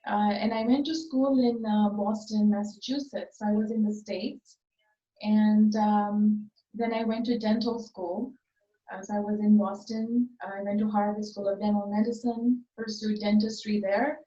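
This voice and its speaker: A woman's voice: medium pitch, fairly even intonation, a little slow, dark tone. A woman in her forties giving conversational answers as an interview guest. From Tanzania. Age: 40s. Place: remote online call, indoor room. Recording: muffled, roomy, distant call audio.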